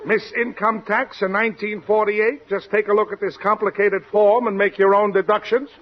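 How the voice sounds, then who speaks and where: An actor playing a brash, slangy American press agent who cajoles and wisecracks in a 1940s radio comedy. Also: male voice, high voice, level tone, normal speed, a little hiss or hum, lightly acted. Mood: wisecracking, cajoling. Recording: dull old radio recording.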